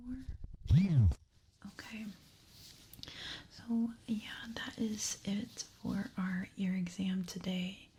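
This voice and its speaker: A woman whispering breathily and gently as a reassuring ear examiner in an ASMR medical role-play. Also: medium pitch, slight background noise.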